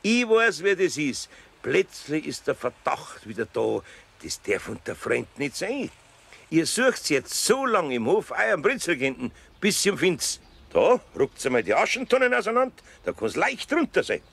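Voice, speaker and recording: An older Bavarian craftsman, teases slyly in a children's radio play. His voice creaks and swings widely in pitch, good-natured throughout. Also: very animated, normal speed, a bit bright, full character voice. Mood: slyly teasing. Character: older master carpenter, good-natured, sly and teasing.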